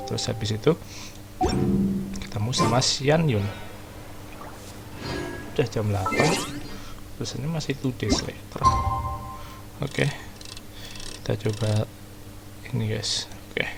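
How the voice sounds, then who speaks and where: Casting: male Indonesian-accented game streamer, noticeable vocal fry, casual and slangy, music behind. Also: fairly low pitch, unhurried, long pauses, low-quality recording. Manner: slangy, searching for words aloud. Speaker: gaming streamer.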